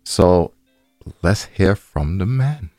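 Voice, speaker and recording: A man's voice: low-pitched, lively intonation, takes it slowly, dark tone, no echo, studio quality. A man co-hosting a podcast in casual, slangy talk, repeating phrases for emphasis, with music behind him. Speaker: podcast co-host.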